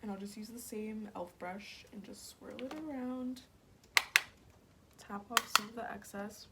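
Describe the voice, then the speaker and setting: A young woman with a raspy voice, chatting casually through a makeup tutorial and often dropping to a whisper as she works. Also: mid-range voice, measured pace, heavy background noise. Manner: chatty, slips into whispers while working. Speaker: beauty vlogger. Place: home, at a mirror.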